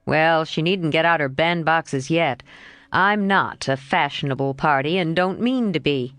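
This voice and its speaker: A woman voicing a cheerful, teasing young man in a slightly lowered, coaxing voice. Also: a little slow, a bit bright, no room sound. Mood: defensive. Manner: lightly lowered, coaxing, playful.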